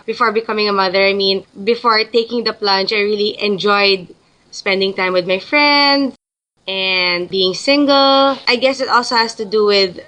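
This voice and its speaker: A young woman from the Philippines speaks in a bright, clear voice. She answers interview questions in a loose, chatty way, often restarting her sentences as she thinks aloud. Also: medium pitch, low-quality recording. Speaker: guest.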